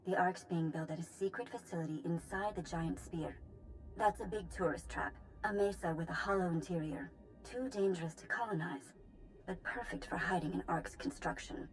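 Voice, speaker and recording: A woman's voice: lowish voice, moderate pace, faint music behind, natural voice, poor audio. A woman playing a knowledgeable mission analyst in a video game, briefing in a matter-of-fact way. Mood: informative. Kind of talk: video game cutscene acting.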